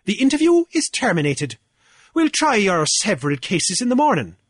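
A man's voice: high voice, big pitch swings, moderate pace, no echo, clean studio sound, pitched above the performer's own voice. A man voicing a pompous, drunken male beachcomber official who blusters in a lilt. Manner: bombastic, wordy, theatrical.

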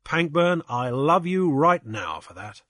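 A tough, commanding sea captain who barks his orders briskly, a bit raised and forceful, as a male narrator plays him. The voice is close and dry. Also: on the high side, big pitch swings, no echo, pitched above the performer's own voice. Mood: approving. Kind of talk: audiobook character voice.

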